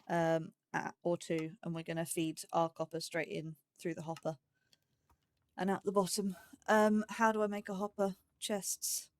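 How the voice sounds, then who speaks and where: A woman's voice: on the low side, no room sound. A woman narrating her gameplay in a casual, chatty way, thinking aloud as she goes. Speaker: let's play gamer.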